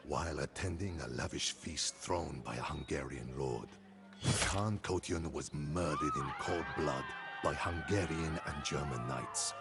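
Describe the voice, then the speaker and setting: A man's voice: extremely low pitch, vocal fry, full character voice. A man playing a grave, vengeful khan who solemnly narrates the story of his people in a video game. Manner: solemn, ominous, deliberate. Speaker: cutscene narrator. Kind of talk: dramatic scripted storytelling narration. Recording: music behind.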